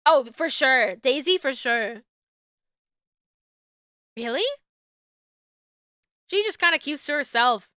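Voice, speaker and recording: A woman's voice: sits high, lively intonation, takes it slowly, long pauses, bright, forceful tone, clean tone, dry recording, studio quality, exaggerated voice. A woman reading the lines of an earnest, friendly suburban dad in a dating-sim game.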